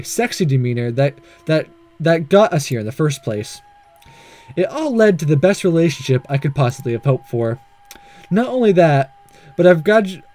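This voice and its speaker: A man voicing a smitten, earnest young male student in a visual novel, halting and sincere. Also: a little high, warm tone. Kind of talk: visual novel read-aloud. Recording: faint music behind.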